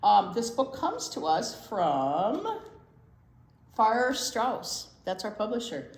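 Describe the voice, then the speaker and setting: Older female storytime teacher, playful and very lively in intonation, recorded in an echoey room. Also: fairly high pitch, slow. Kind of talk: casual chat for young children.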